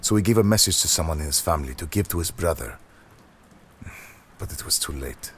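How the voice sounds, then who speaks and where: A man voicing a soft-spoken older man recounting a painful family history. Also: extremely low pitch, expressive, fairly quick, slight background noise. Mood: somber.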